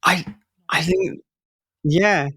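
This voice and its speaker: A male podcast host talks slowly and with care in a casual interview. His voice has a noticeable creak, and the sound is dry and close. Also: sits high, bright, forceful tone, no echo.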